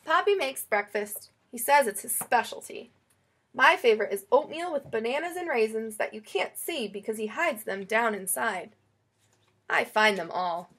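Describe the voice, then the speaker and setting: A woman reading a children's picture book aloud in an expressive, storytelling way. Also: fairly high pitch, normal speed, slightly rough. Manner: expressive, acts out quoted lines.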